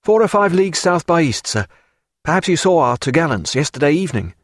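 Young male naval officer acted by a man, eager, earnest, brisk, raised pitch, animated, audiobook character. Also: dry recording, studio quality. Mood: solicitous.

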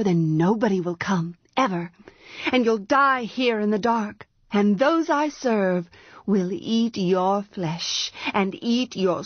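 Woman voicing a young scornful priestess. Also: no echo. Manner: scornful, defiant, rising to shrill. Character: young, proud, fierce girl priestess. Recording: dull, muffled, dry sound.

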